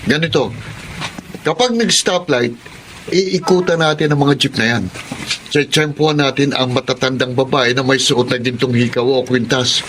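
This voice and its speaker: A man's voice: mid-range voice, moderate pace, fairly bright tone, slight background noise, natural voice, low-quality recording. A man playing a sly, coaxing street hustler in a radio drama, with a Filipino accent. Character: streetwise male hustler coaxing a boy into thieving. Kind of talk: Tagalog radio drama acting.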